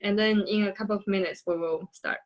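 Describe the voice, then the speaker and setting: A woman's voice: medium pitch, steady tone, a little fast, lo-fi sound. A woman who coaches the performers, hosting a show over a video call in a friendly, practical way. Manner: friendly, practical, with fillers and laughs. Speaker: host and coach.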